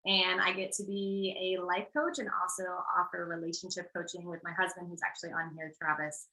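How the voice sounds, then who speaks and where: A woman's voice: fairly low pitch, steady tone, brisk, sharp tone, echoey room, low-quality recording. An American woman, a life coach from the United States, co-hosting an online workshop over a video call in an upbeat, reassuring way, laughing often.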